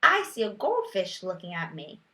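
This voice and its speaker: A woman teacher demonstrating a children's read-aloud, moving between explanation and expressive, sing-song reading with questions for a child. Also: lowish voice, normal speed, creaky. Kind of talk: read-aloud lesson with reading tips for parents. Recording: roomy echo.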